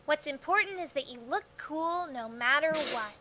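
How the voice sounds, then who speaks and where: A woman voicing a neurotic, self-absorbed young female pony in a parody cartoon, theatrical and sometimes deadpan. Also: high voice, normal speed. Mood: flat, strained. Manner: theatrical, swings between panic and deadpan. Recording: cartoon soundtrack with music underneath. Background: background music.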